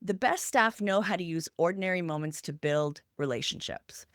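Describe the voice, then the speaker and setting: A woman's voice: lowish voice, normal speed, a bit bright, no echo, clean studio sound. A woman co-hosting a podcast, talking in a structured, instructional way and stressing points through short, parallel lists. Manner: emphatic, listing examples in short parallel phrases. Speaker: podcast co-host.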